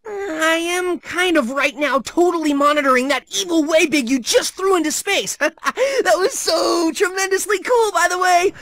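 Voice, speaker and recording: A woman voicing an excitable, geeky young boy superhero fan in a video game, gushing with drawn-out, emphatic words. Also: very high voice, no room sound. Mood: giddy, awed. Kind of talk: animated video game voice acting.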